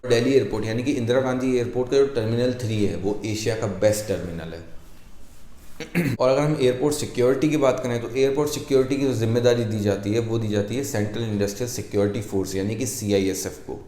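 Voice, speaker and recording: Mellow man explaining, in echoey room. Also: medium pitch, fairly quick. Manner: mixes English technical terms into speech. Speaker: presenter of an explainer video. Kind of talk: informal explanatory lesson. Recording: echoey, roomy sound.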